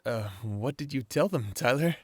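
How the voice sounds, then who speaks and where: A man's voice: mid-range voice, expressive, normal speed, no echo, studio quality, lightly acted. A man acting a friendly, good-natured werewolf in an audio drama.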